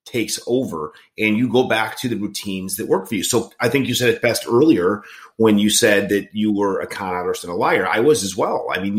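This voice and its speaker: A man in his late forties hosting a casual interview, talking in an earnest, rambling way with frequent restarts. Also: fairly low pitch. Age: 40s. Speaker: podcast host in recovery.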